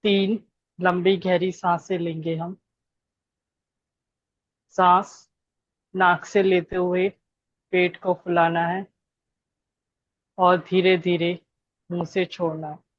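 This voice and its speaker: A woman leading a guided meditation, giving gentle instructions with long pauses between phrases. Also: lowish voice, flat delivery, muffled recording.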